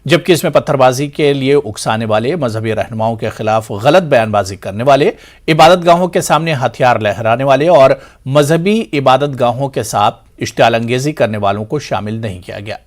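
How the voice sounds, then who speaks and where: Male newsreader, mid-range pitch, formal, measured, scripted bulletin delivery. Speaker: news anchor. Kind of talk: scripted news reading.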